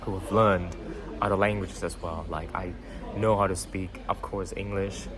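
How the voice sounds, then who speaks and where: A man's voice: sits low, fairly even intonation, moderate pace, a crowd in the background, poor audio. A young man whose first language is Vietnamese, hosting a podcast and talking casually through a motivational story, full of fillers and "right?" tag questions. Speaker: podcast host. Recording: background music under voice. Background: music.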